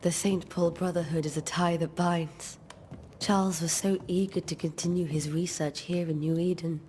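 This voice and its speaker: An actress playing a grieving, devout colonial wife in a video game, speaking in formal, measured, old-fashioned phrases. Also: female voice, on the low side, fairly even intonation, darkish tone, a little hiss or hum, lightly acted. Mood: gracious. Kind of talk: dramatic video game acting.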